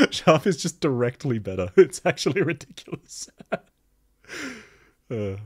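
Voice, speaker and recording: A man's voice: measured pace, husky. A man with a thick Australian accent, chatting casually as he reviews game outfits and laughs often. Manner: chatty, laughs often, lively pitch jumps. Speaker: gaming video host. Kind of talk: casual commentary.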